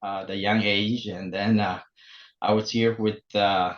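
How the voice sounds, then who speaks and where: Man, deep-ish voice, hesitant, many fillers, dull lo-fi sound. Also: little pitch movement. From El Salvador. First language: Spanish. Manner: halting, many fillers and restarts. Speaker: guest. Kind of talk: casual interview. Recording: muffled lo-fi remote audio.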